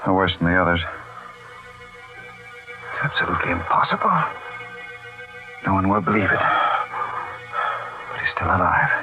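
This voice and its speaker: Deep, grave army surgeon. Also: male voice, steady tone, measured pace, pauses often. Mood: grave, amazed. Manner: clipped, grave. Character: army major surgeon, terse, steady and weary man. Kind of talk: 1940s radio drama acting. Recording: muffled old radio, music behind.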